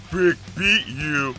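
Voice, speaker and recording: An actor playing a big, dim-witted orc thug who speaks in blunt broken sentences in a fantasy audio drama. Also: male voice, fairly high pitch. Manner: third-person broken speech, slow and blunt.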